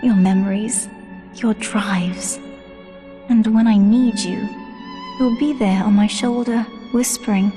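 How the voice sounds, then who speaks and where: Woman playing a slow, solemn, devoted daughter. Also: medium pitch, fairly even intonation, lightly acted. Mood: solemn, tender. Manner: measured, solemn, deliberate. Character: solemn, devoted young woman narrating her destiny. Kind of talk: dramatic game cutscene monologue. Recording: long reverberant hall sound. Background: loud string music.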